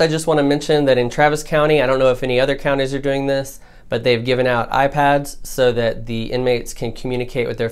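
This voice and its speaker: A man's voice: mid-range voice, clean tone. An American man, a defense lawyer, presenting an informal legal explainer in a plain-spoken, colloquial, instructive way.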